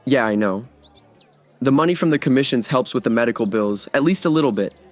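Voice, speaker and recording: A man's voice: medium pitch, animated, fairly quick, soft background music, lightly acted. A man playing a laid-back joking college guy. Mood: casual. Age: 20s. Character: easygoing young male art student. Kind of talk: audio drama acting.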